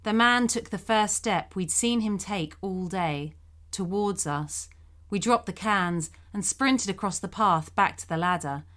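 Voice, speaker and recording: Female narrator, medium pitch, scripted first-person scary storytelling. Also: measured pace, a bit bright. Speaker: narrator reading a listener's true scary story.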